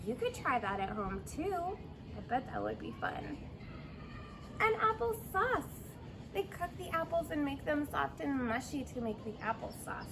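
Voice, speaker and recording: A woman in her thirties or forties reads a picture book aloud to young children, expressively, drawing out words and adding simple asides and questions. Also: sits high. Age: 30s or 40s. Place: outdoors in a fenced backyard. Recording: outdoor recording with clear background noise.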